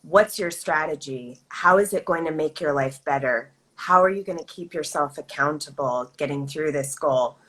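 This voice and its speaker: A woman hosting a live video chat, thoughtful and measured, asking her guest questions. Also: deep voice, a bit bright, low-quality recording. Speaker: host. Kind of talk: casual live-stream interview.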